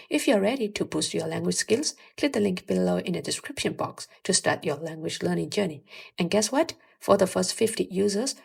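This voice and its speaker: Young woman with very creaky voice. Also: mid-range voice. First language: Burmese. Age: early 20s. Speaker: language-learning vlogger. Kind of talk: scripted advice narration to viewers.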